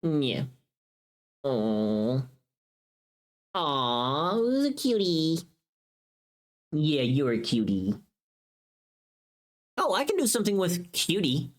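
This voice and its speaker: A woman's voice: low-pitched, wildly swooping pitch, extremely slow, long pauses. A woman livestream host chatting casually, rambling playfully and muttering to herself as she goes.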